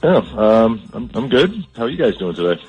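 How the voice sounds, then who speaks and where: A man with a husky, unclear voice speaks on a radio phone call. He is casual and halting, repeating words as he explains himself, over faint music. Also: lowish voice, big pitch swings, a little mellow. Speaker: caller on a radio show.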